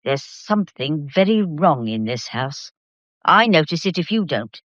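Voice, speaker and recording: A woman's voice: sits low, big pitch swings, measured pace, a bit bright, dry recording, dull audio, exaggerated voice. An actress playing a long-serving lady's maid in a period drama, plain-spoken, disapproving and ominous. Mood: worried, ominous. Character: grumbling, foreboding old family lady's maid. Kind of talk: period radio drama acting.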